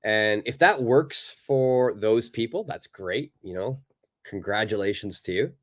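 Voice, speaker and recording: A male native English speaker teaching a live online English lesson, answering viewers' questions in a relaxed, chatty way with lots of fillers. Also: on the low side, a little slow. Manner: relaxed and conversational, many fillers, acts out little examples.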